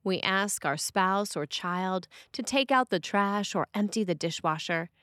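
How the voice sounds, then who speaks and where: A woman priest reading a scripted sermon in a measured, expressive way. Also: mid-range voice, clear, resonant tone, no echo, studio quality.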